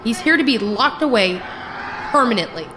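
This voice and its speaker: An actress voices a jaded female psychiatric nurse in a horror radio play. Her voice sits fairly high and is emphatic, that of someone worn down by the ward, with music underneath. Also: lively intonation, moderate pace, close to an everyday voice. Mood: weary, bitter. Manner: exasperated, emphatic. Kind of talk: horror audio drama acting.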